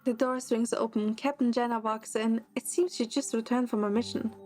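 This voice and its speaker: Game story lead: woman as earnest, curious young recruit, higher voice, first-person narration, music underneath. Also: fairly even intonation, normal speed, a little mellow, natural voice. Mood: attentive.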